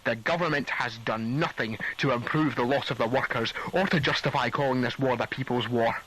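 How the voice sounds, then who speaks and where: A man's voice: mid-range voice, a little fast, a bit bright, natural voice, rough recording. An actor playing a defiant male propaganda broadcaster from 1940, reading an agitating anti-war radio script.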